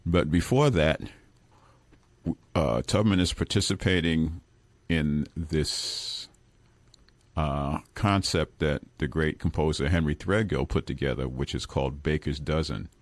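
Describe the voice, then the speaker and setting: Man, very deep voice, dry close studio sound, rambling radio guest. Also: unhurried, no room sound. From Brooklyn, New York. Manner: rambling, self-correcting, chuckles now and then. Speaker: guest musician. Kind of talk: relaxed radio interview talk.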